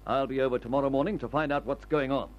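An actor playing a brisk, decisive leader of airmen, giving instructions with calm authority in an old radio adventure serial. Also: male voice, medium pitch, natural voice. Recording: dull old radio recording.

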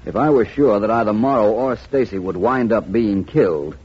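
Polished gentleman detective, husky, measured, mid pitch, 1940s radio, lo-fi. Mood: cool. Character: composed, articulate male gentleman detective. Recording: dull lo-fi old radio recording.

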